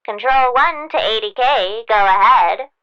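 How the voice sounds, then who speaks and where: A woman playing a brisk police dispatcher giving clipped, official calls through a radio filter in an audio drama. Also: on the high side, clear, resonant tone. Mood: official, detached. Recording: muffled, band-limited police radio sound.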